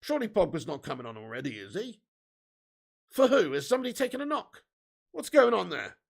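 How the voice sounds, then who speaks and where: A man with a hoarse, fading voice, giving sweary, excitable live fan commentary while reading chat. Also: high voice, brisk. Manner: swearing, excitable, shouts at chances. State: losing his voice. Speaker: fan watch-along streamer.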